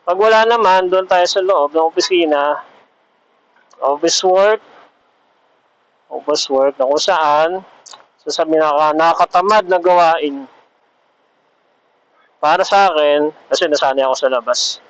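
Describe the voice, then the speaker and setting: A man's voice: fairly high pitch, moderate pace, pauses often, no room sound. A man chatting casually and rambling as he thinks aloud, in the style of a motovlog. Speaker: vlogger. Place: outdoors on the road in traffic. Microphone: motorcycle helmet intercom over Bluetooth. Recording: dull lo-fi Bluetooth intercom mic.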